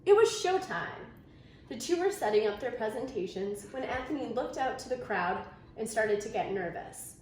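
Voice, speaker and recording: A woman reading a children's story aloud in an expressive storytelling manner. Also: fairly high pitch, normal speed. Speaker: storybook reader. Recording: very echoey room, faint noise.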